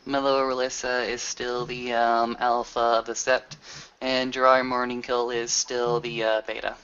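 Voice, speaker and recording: A man improvising in-character exposition in a tabletop roleplaying game, with a halting, hesitant delivery. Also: medium pitch, completely flat delivery, fairly bright tone, clear, resonant tone. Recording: dull lo-fi audio.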